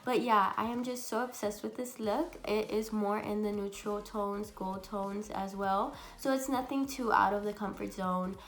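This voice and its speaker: A young woman with a raspy, congested voice from a cold, chatting bubbly through a makeup tutorial at home. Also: medium pitch, fairly even intonation, normal speed, hardly any pauses. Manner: chatty and bubbly, many fillers. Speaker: beauty vlogger. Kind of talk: casual makeup tutorial chat.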